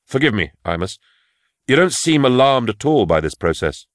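A man voicing a young male interrogator, measured and firm in his questioning. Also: medium pitch, sing-song, no room sound, clean studio sound, voice pushed up, close to an everyday voice.

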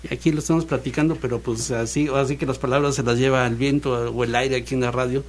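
A man with a Mexican accent hosting a radio interview, chatty and easygoing with quick questions. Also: mid-range voice, steady tone. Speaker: radio host.

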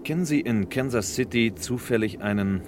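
A man's voice: moderate pace, close to an everyday voice. An actor playing a courteous, inquisitive middle-aged male traveler in a 1960s radio drama. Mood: friendly, inquisitive. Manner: polite, conversational, inquisitive. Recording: 1960s mono radio, loud train noise. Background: rumbling train ride.

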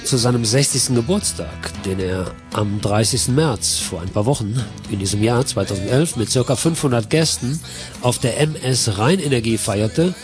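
A man's voice: medium pitch, darkish tone. A male radio host who presents songs and gives background facts in an informative way. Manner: informative, announcing tracks. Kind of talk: radio music show presenting.

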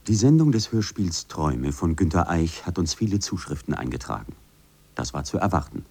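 A man's voice: low-pitched, sing-song, normal speed, vocal fry, a little mellow, breathy edge. A man giving a formal scripted reading of quoted texts.

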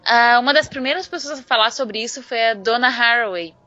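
A Brazilian woman chatting informally as a podcast panelist, explaining ideas in an animated, slangy way. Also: fairly high pitch, fairly quick. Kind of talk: casual discussion of ideas. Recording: dull lo-fi online audio, music underneath.

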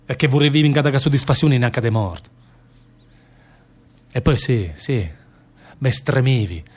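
A man's voice: medium pitch, lively intonation, measured pace. Radio drama simple, confessional Lombard workman: mid-range male voice, stubborn, plaintive, repetitive dialect delivery. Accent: northern Italian, Lombard. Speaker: stage actor in a radio theatre play. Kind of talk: dialect theatre acting.

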